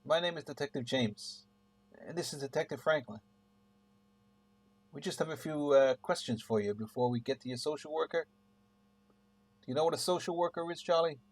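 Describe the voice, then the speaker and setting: A man's voice: fairly high pitch, brisk, long pauses, close to an everyday voice. A man playing a grim, weary police detective in a radio play, speaking in dramatic dialogue. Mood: gentle, careful. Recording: clear hum and background noise.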